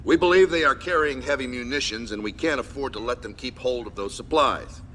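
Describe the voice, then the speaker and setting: An actor playing a stern military commander in a video game, briefing troops in a clipped, formal, authoritative manner. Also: male voice, mid-range voice, a little fast, fairly bright tone, a little hiss or hum, lightly acted. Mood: commanding.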